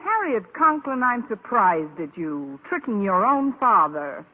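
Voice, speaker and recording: A woman playing a wry, quick-witted high school teacher with dry, sarcastic delivery in an old radio comedy. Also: sing-song. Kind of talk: 1940s-50s radio comedy acting and narration. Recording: noisy, tinny old radio broadcast.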